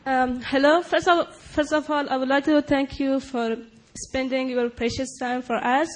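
A woman's voice: sits high, fairly even intonation, a little slow. A young woman from Afghanistan, not a native English speaker, asking a question politely and hesitantly. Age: young adult. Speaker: audience member asking a question. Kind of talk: audience question.

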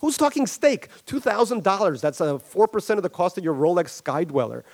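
Man voicing a high, whiny, defensive rich money man; lively swoops. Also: fairly quick, exaggerated voice. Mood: pleading. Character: self-pitying wealthy middle-aged male fund man.

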